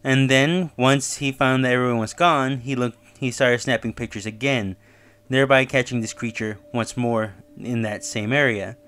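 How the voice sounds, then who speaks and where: A man's voice: mid-range voice, steady tone, moderate pace, fairly bright tone, smooth. A man hosting his own channel, casually recapping and reviewing a horror web series, talking loosely with fillers and self-corrections.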